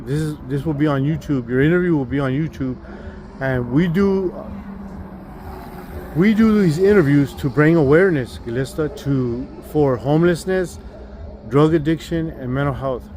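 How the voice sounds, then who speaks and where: A man from Dallas hosts a live street interview in a slightly high voice. He asks simple, friendly questions with fillers, and heavy traffic noise fills the outdoor air. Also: normal speed, a little mellow. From Dallas, Texas. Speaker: street interviewer, channel host. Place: outdoors by a busy road.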